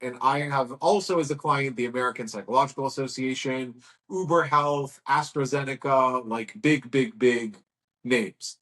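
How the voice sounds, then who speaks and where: A man in his thirties talks frankly and at length, stacking up stories with lots of "like" and restarts. His voice sits at an ordinary middle pitch for a man. He talks casually, as a guest chatting with a host. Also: moderate pace. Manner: rambling, frank, lively storytelling with acted quotes. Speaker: guest, agency owner. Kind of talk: casual interview talk.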